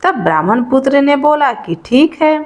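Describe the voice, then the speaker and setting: A woman's voice: high-pitched, big pitch swings, moderate pace. A woman narrating a devotional folk tale in an informal oral storytelling style, explaining and rephrasing as she goes.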